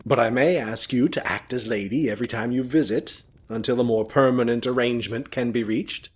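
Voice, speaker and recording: An actor playing a courteous, warm-hearted gentleman host of an old manor in an audio drama. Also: medium pitch, brisk, husky, lightly acted. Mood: gracious, sincere.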